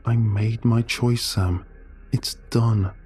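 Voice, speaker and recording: A man's voice: lowish voice, fairly even intonation, takes it slowly, a little mellow, breathy edge, soft background music, voice pushed up. A man voicing a troubled teenage schoolgirl who speaks with firm, weary resignation. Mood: resigned.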